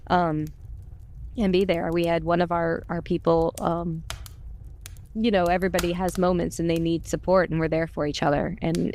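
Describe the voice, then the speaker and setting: A woman's voice: on the low side, darkish tone. A woman chatting casually and informally about a podcast project, in a gushing, talkative way. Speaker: podcast cast member and volunteer. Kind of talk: casual chat.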